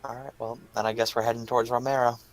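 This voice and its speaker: A man's voice: medium pitch, flat delivery, fast talker, faint music behind, low-quality recording. A man playing a tabletop roleplaying game, chatting in a casual, slangy way.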